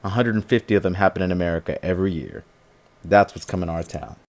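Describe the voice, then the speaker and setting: A man's voice: low voice, fast, no echo. A man playing a defiant eighteen-year-old activist in a radio drama, sarcastic and argumentative. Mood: defiant, accusing.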